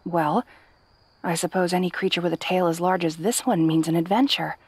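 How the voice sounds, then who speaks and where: A woman's voice: fairly low pitch, moderate pace, a little mellow, breathy edge, no echo. A woman playing a kind, hapless young princess in a fantasy audio roleplay, talking to the listener in a nervous, stammering, chatty way. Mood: curious, gentle. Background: night sounds with crickets.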